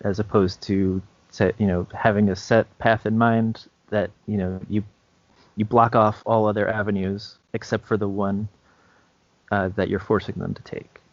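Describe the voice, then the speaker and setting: A man's voice: on the low side, little pitch movement, normal speed, no room sound. A man co-hosting a podcast, chatting casually in a hesitant, loosely phrased, easygoing way. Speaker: podcast co-host, game master. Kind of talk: casual chat giving gaming advice. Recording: dull, lo-fi, dry podcast audio.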